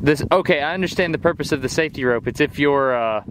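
A man's voice: fairly high pitch, quick pace. A man with an Alabama accent, chatting outdoors at an opal field as a travel vlogger, asking lots of curious questions and laughing easily. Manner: curious, laughs often, asks many questions. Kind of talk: casual on-location chat. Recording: loud outdoor noise.

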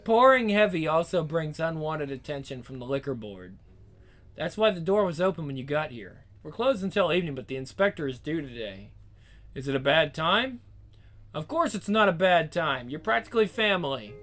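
A man voicing a gruff, proud older bar owner who talks loud and blunt, reading a visual novel. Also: fairly high pitch, big pitch swings, moderate pace, faint music behind.

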